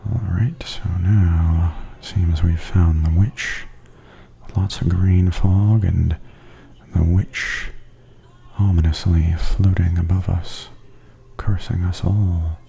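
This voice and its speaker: A man giving softly spoken, gently wry ASMR commentary as a tour guide, in a hushed, breathy half-whisper. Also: sits low, fairly even intonation, slow. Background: theme park haunted attraction ambience.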